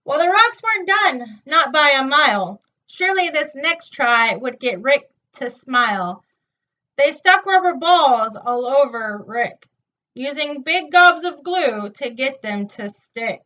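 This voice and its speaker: High-voiced woman reading slowly, far from mic. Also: lively intonation, bright, forceful tone. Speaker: storytime reader. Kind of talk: children's picture book read-aloud. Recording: dull, lo-fi, distant microphone.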